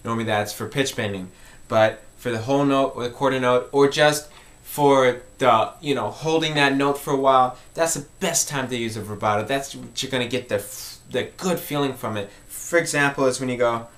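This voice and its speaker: A man's voice: mid-range voice, moderate pace, fairly bright tone. Man giving an informal tutorial. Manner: explanatory, conversational, repeats key points. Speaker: music tutorial host.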